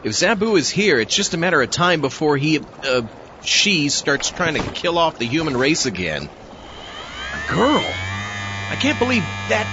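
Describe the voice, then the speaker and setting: A man's voice: fairly high pitch, sing-song, unhurried, lo-fi sound, noisy background. A man playing a sarcastic, incredulous astronaut in a comedy audio drama. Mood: exasperated, baffled. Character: exasperated, sarcastic male astronaut hero. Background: music.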